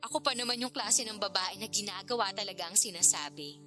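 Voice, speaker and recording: An actress plays a bold married woman in a radio romance drama. Her voice is bright and forceful, coaxing and flirtatious. Faint music runs underneath. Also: medium pitch, quick pace, natural voice. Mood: seductive. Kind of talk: Tagalog radio drama acting.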